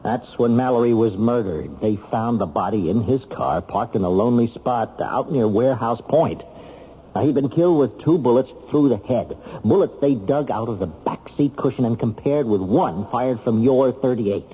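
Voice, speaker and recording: A man's voice: mid-range voice, animated, moderate pace, dark tone, husky. An actor playing a sober, practical city councilman in his thirties, questioning his brother insistently in an old-time radio drama. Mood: probing. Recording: dull, lightly noisy old radio recording.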